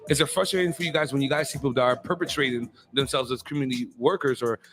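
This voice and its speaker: Fast, bright-voiced joking man. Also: mid-range voice, fairly even intonation. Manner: quick, joking, teasing interjections. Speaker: podcast host. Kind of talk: casual podcast interview.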